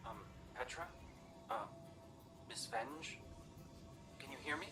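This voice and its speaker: A man's voice: on the high side, sing-song, slow pace, creaky, exaggerated voice. A man playing a timid, awkward game companion, guilt-ridden and nervous, with hesitant, stammering speech. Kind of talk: game dialogue acting. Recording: distant echoing voice under music.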